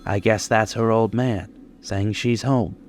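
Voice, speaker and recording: An actor playing a lonely, menacing killer in a 1940s-style radio suspense drama, talking in slow, colloquial tough-guy speech. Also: lowish voice, steady tone, a little mellow, a little hiss or hum, close to an everyday voice. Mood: weary, resigned.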